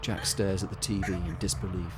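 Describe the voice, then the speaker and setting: Male actor as deep, grim storyteller for audio drama; suspenseful scripted narration over noticeable background noise. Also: flat delivery, a little fast, close to an everyday voice. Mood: grim, measured.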